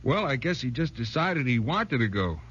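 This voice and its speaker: A man's voice: mid-range voice, sing-song, moderate pace, fairly bright tone, slightly rough. An actor playing an unassuming, hesitant ordinary man with an American accent in a 1940s radio drama. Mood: hesitant, reminiscing. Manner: modest, hesitant. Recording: muffled, faintly humming 1940s radio recording.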